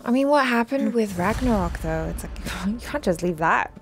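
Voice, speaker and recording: A woman's voice: medium pitch, animated, moderate pace, a little hiss or hum. A woman hosting a reaction video, giving casual, spontaneous comments. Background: game soundtrack music.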